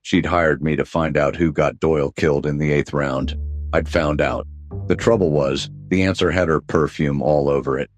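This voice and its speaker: Very deep, weary noir detective. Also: male voice, normal speed, soft background music. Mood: brooding, wry. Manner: world-weary, wry, deadpan. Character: weary hard-boiled male private detective, cynical. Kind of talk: 1930s noir radio drama narration.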